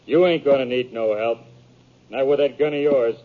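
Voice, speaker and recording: A man's voice: medium pitch, moderate pace, close to an everyday voice. A man acting a plain-spoken, suspicious posse guard with rustic American speech in a 1950s radio western. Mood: obliging. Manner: rustic, folksy, challenging. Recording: tinny, narrow, hissy old radio recording.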